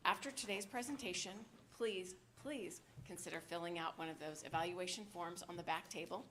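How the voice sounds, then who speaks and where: A woman's voice: fairly low pitch, brisk, pushed and bright. A woman hosting a library event, reading a scripted welcome to an audience. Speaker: library host introducing a show. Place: live public event in a library. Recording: clear background noise, clicks.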